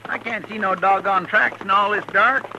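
An actor playing a folksy, deferential, grumbling deputy sidekick with a rural Western American drawl in a 1950s radio western. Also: male voice, high voice, fairly even intonation, normal speed, dull audio. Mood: puzzled, complaining. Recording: noisy vintage 1950s radio recording.

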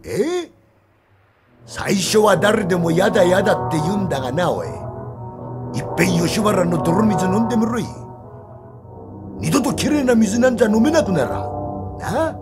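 A man voicing a rough, bullying gangster henchman in a traditional Japanese tale, with coarse, threatening street talk in an old Tokyo dialect. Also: sits high, expressive, moderate pace, long pauses. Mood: sneering, bullying. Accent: Edo Tokyo dialect. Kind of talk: traditional Japanese stage storytelling. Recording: music behind the voice.

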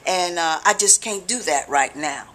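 A middle-aged American woman giving an informal religious talk to camera, conversational and deliberate, with emphatic check-ins to the listener. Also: on the low side, fairly bright tone. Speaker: religious video blogger. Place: small living room, indoors on a couch.

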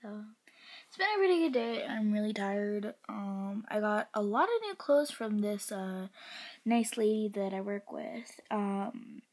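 Husky young woman rambling to her phone. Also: medium pitch, animated, measured pace. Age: young adult. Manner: rambling, self-mocking, drifts into soft whispers. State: congested. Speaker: vlogger. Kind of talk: casual vlog chat. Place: bedroom, indoors. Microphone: phone camera at arm's length.

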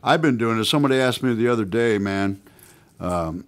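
A man's voice: mid-range voice, animated, fairly quick. A man in his sixties from the United States, a guest musician chatting casually in a small radio studio, laid-back and peppering his talk with "man" and "you know". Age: 60s. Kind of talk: casual radio interview chat. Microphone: stand mic at guitar.

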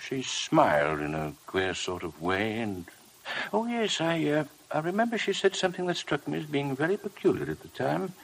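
An actor in a 1950s radio drama playing a dignified, reserved male doctor, husky and formal. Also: a little high, big pitch swings, moderate pace, full character voice, rough recording. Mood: grave.

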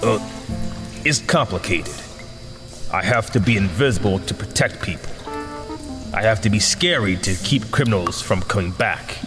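A man's voice: lowish voice, expressive, normal speed, pauses often, big-room sound, natural voice. A man playing an earnest, flustered young masked hero with a hesitant, exasperated manner in a comic audio drama. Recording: music behind.

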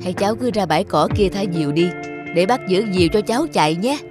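An actor voicing a sympathetic, kindly middle-aged man in a cartoon, gentle and concerned. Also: medium pitch, expressive, quick pace, close to an everyday voice. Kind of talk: cartoon dubbing. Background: music.

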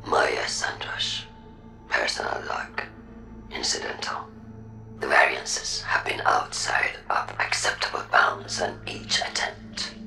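A cold, obsessive scientist in a video game. She speaks in a breathy, gravelly half-whisper at an unhurried pace, measured and self-justifying, as if dictating a private log over music. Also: extremely low pitch, very animated, exaggerated voice, rough recording. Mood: cold, clinical. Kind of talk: dramatic scripted game voice acting.

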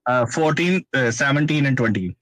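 A man's voice: medium pitch, expressive, moderate pace, fairly bright tone, no room sound. A man from Pakistan, an Urdu speaker, speaking hesitantly with many fillers as a caller. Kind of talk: casual chat.

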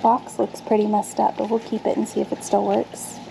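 A woman's voice: medium pitch, little pitch movement, normal speed, creaky, noisy background. A woman in her thirties chatting casually to camera outdoors, thinking aloud as she sorts her dumpster finds. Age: 30s. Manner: chatty, thinks aloud while sorting items. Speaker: vlogger sorting dumpster finds. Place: outdoors on a backyard patio.

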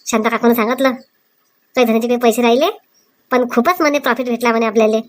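A woman's voice: a little high, fast talker, close to an everyday voice. Cartoon casting: a woman as a cheerful, go-getting young wife, eager talker. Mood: pleased, eager. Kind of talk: comic cartoon dialogue.

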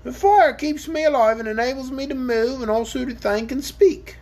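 A woman voicing a gruff, boastful young male dragon in a storybook reading. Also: high voice, moderate pace, darkish tone, pitched above the performer's own voice. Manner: sneering, blunt. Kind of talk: audiobook character reading.

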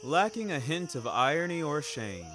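A man's voice: fairly high pitch, big pitch swings, normal speed, background noise. A man narrating a scripted first-person story in a wry, theatrical, ornate manner. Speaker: narrator of a fiction podcast. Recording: music bed behind narration. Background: music.

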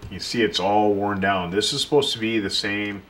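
A low-pitched man explains a repair job as he works at a workshop bench, speaking plainly and directly to the viewer. Tools click and clatter clearly behind his voice. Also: steady tone, normal speed. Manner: plain, unpolished explaining while working, talking to the viewer. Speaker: pool and spa repair technician. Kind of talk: casual hands-on repair tutorial. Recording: tool clicks and workshop noise.

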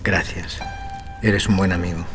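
An actor playing a troubled, morbid, reclusive aristocrat in a gothic audio drama, intense, formal and theatrical. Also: male voice, low-pitched, fairly even intonation, measured pace, music in the background. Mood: grim resolve.

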